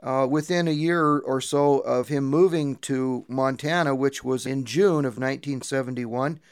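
A man with an American accent giving a halting, plain-spoken eyewitness recollection in an interview. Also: medium pitch, clear, resonant tone, dry recording, clean studio sound.

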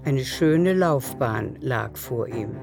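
A woman's voice: extremely low pitch, lively intonation, slow, vocal fry. Woman narrating a literary radio play. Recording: music behind the voice.

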